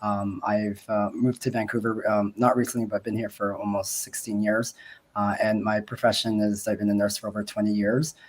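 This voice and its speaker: A man in his forties, from the Philippines, talking on a video call with a voice gone raspy from a cold, rambling with many fillers. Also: fairly low pitch, flat delivery, normal speed, rough recording. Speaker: guest asking questions. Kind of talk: casual video call chat.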